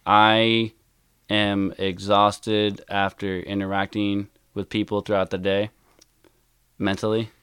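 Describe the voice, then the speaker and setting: A man guesting on a podcast, chatting casually with dry, deadpan wisecracks in a naturally loud voice. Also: lowish voice, slow pace, clear, resonant tone, no room sound. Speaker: podcast guest, community moderator. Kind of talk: casual chat.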